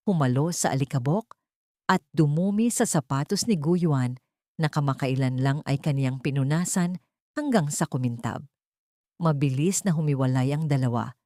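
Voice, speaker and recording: A woman's voice: deep voice, a little mellow, no echo, clean studio sound. A woman narrating a scripted emotional story as a dramatic storyteller.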